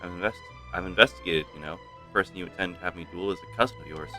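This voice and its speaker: A man voicing a haughty, businesslike champion duelist from a video game, measured and hushed, reading dialogue aloud. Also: sits low, over music, lightly acted. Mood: cool, businesslike.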